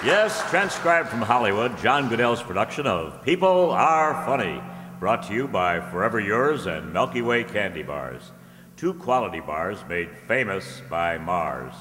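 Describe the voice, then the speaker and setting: In a very echoey hall, a man puts on a hearty 1950s radio announcer. The delivery is showy and polished, with bright, forceful tone and big swings of pitch. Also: big pitch swings, a little slow, some chatter behind, full character voice. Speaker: show announcer.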